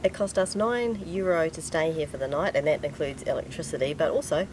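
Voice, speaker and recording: A woman's voice: low voice, fairly quick, darkish tone. A middle-aged woman with a New Zealand accent, chatting casually as a travel vlogger. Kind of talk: casual chat to camera. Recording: noisy outdoor and vehicle location sound.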